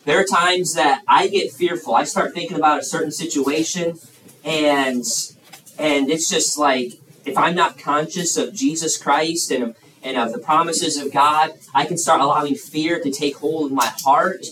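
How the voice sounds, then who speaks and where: A man's voice: fairly high pitch, a little slow, fairly bright tone. A man in his thirties preaching to young people in a folksy, chatty way, full of fillers and restarts. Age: 30s. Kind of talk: youth sermon with audience questions. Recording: echoey room, mic far off, faint hiss.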